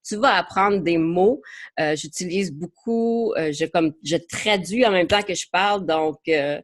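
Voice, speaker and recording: A woman's voice: on the low side, unhurried. A woman with an English Canadian accent whose first language is English, leading a live online training session as a marketing coach. She talks in a chatty way, slips English words into her French, and often hesitates and repeats words.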